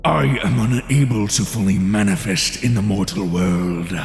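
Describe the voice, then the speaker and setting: A man voicing a dark, menacing spirit bound to a warrior in a fantasy audio drama, with slow delivery and a reverb effect. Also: on the low side, gravelly, slight background noise. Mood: dark menace. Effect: cavernous reverb on the voice.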